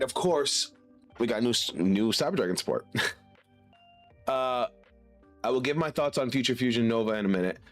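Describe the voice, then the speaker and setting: A man streaming casually to his chat while congested and sick, laid-back and swearing now and then. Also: medium pitch.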